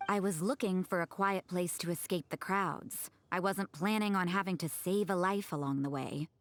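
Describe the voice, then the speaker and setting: An actress playing an aloof, dry-witted loner nun, curt and deadpan, in a video game cutscene. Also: female voice, fairly low pitch, normal speed, dry recording, natural voice.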